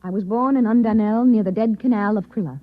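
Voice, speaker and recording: A woman playing a composed, secretive Martian posing as a wife in an old-time radio drama, poised and deliberate. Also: mid-range voice, narrow, tinny audio, close to an everyday voice. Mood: cool, composed.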